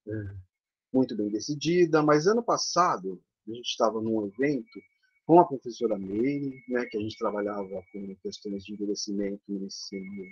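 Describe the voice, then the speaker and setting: A man's voice: fairly low pitch, slightly rough. A man with a Brazilian accent, a theatre teacher for older adults, giving a conference presentation over slides in a chatty way, often tagging on little questions. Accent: Brazilian Portuguese. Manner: chatty, peppered with tag questions. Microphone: video call. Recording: dull lo-fi online call audio.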